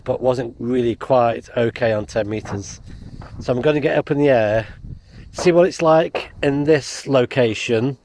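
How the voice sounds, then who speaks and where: A man's voice: medium pitch, a little mellow. A British man chatting casually to viewers as a vlogger, in a hesitant, rambling, unscripted way. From United Kingdom. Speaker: amateur radio vlogger. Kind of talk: casual vlog chat. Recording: background noise, car and outdoor ambience.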